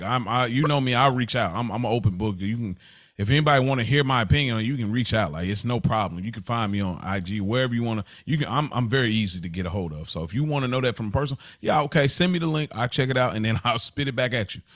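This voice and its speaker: A man's voice: medium pitch, a bit bright, no room sound. Male podcast host, close dry sound. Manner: emphatic, repeats phrases to build a point. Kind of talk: casual podcast conversation.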